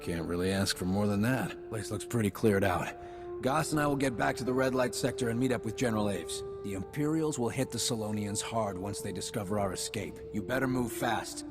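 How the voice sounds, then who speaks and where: A battle-worn resistance ally in a video game, voiced by a man. The voice sits low, dry and informal, wry and plain-spoken, over a music bed. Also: a little fast, natural voice. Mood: wry, guarded. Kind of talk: scripted video game acting. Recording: game cutscene with music underneath.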